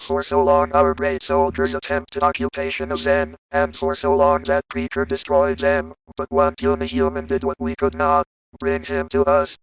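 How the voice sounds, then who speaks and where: A man's voice: a bass voice, completely flat delivery, moderate pace, pauses often, clean tone, no room sound. A man voicing a cold, commanding alien conqueror who demands surrender. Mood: menacing. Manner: menacing, commanding. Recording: dull lo-fi sound.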